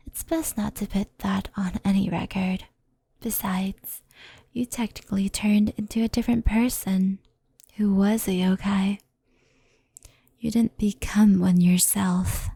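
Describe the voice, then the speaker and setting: A woman voicing a stern, composed fox-spirit in an ASMR roleplay, speaking softly in a measured, formal, admonishing way. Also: medium pitch, fairly even intonation, dry recording, heavily acted. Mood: calm, explaining. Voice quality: breathy, soft. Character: stern, composed female fox-spirit yokai, cautious and scolding.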